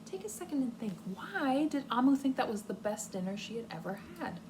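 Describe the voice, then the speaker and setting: A woman reads aloud to young children as their teacher. Her voice is fairly high and swings up and down with plenty of expression. She phrases deliberately and invites listeners to think, over clearly audible noise. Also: very animated. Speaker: kindergarten teacher reading aloud.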